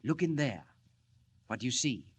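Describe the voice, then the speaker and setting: An actor playing an earnest, secretive scientist who explains formally and deliberately in 1940s radio style. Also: male voice, medium pitch, very animated, a little hiss or hum, lightly acted. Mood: assured, explanatory. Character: earnest, proud, secretive male scientist inventor.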